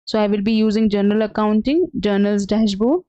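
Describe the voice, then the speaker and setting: A woman giving an unscripted software tutorial as a trainer, explaining step by step in a halting, hesitant way. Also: medium pitch, fairly even intonation, dry recording. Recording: dull, lo-fi, dry close sound.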